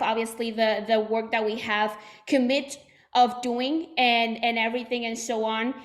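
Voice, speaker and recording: A woman in her twenties with a Puerto Rican accent, giving a chatty motivational training talk to her team with many fillers and restarts. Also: a little high. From Puerto Rico. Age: 20s. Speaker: insurance agency owner giving a talk.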